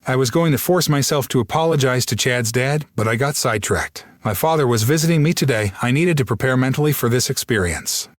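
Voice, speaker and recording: A man narrates a first-person story with steady, plain delivery. His pitch sits in the middle range. The recording is dry and close. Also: fairly quick, hardly any pauses, no echo. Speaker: audiobook narrator.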